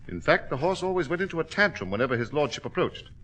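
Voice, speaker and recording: Smug, animated club gentleman. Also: a little high, quick pace. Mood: insinuating. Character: smug club gentleman, smooth, accusing, self-possessed man. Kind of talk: 1940s radio acting. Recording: muffled vintage radio recording.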